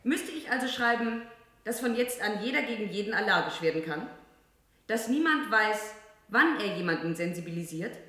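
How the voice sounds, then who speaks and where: A woman playing a grave, insistent press reporter in a 1970s radio drama, solemn and deliberate. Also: mid-range voice, lively intonation, sharp tone. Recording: very echoey room.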